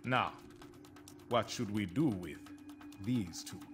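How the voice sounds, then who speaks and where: A man's voice: lowish voice, takes it slowly, over music. An actor playing a dignified, gracious warrior king, formal and stately, in a video game. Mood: grave, grateful. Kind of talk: video game cutscene acting.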